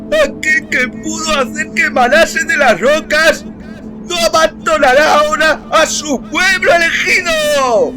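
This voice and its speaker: A man voicing a devout pioneer who declaims his faith loudly in an audiobook story, over music and crowd noise. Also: extremely high pitch, expressive, pitched above the performer's own voice. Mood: fervent. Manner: declamatory, loud, slow.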